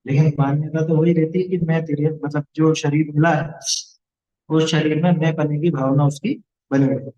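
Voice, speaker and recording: A man's voice: on the high side, dark tone, dull audio. A man teaching a religious studies lesson to a class of children, explaining points and asking the class questions.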